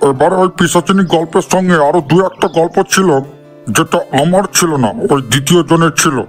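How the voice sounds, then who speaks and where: A man's voice: on the high side, fairly quick, close to an everyday voice. A man voicing a bossy old grandfather in a comedy cartoon, addressing viewers. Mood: earnest. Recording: voice over background music.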